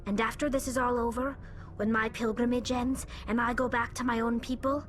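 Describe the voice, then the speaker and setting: A woman's voice: fairly high pitch, level tone, a little fast, a bit bright, soft background music, natural voice. An actress playing a young, grateful female alien crew member in a video game, earnest and sincere in manner. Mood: hopeful.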